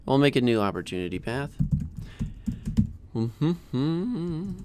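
An American man in his thirties hosting a casual software screencast tutorial in an informal, chatty way, close to a desk mic. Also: on the low side, clean tone, no echo. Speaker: tutorial host. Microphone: close desk microphone.

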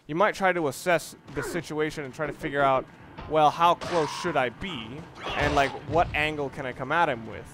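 Higher-voiced man commentating over noisy game audio. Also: fairly bright tone. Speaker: let's play commentator. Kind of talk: unscripted gameplay commentary. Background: video game sounds and music.

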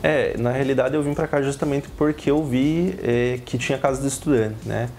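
A man's voice: mid-range voice, normal speed, a little mellow, slight background noise. A man, a law student, speaking matter-of-factly in an interview. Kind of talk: interview testimony.

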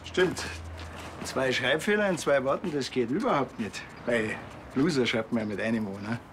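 An actor playing a sardonic small-town police officer with a Bavarian accent and a dry, deadpan manner in a TV crime comedy. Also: male voice, mid-range voice, moderate pace, background noise, lightly acted.

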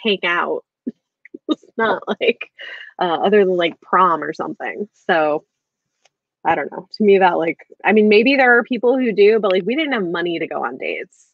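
A woman's voice: lowish voice, animated, normal speed, gravelly, no echo. A woman in her thirties in a casual book discussion on a video call, thinking aloud in a hesitant, rambling way. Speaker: book club livestream host. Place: indoor room at home, on a video call. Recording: slightly dull video-call audio.

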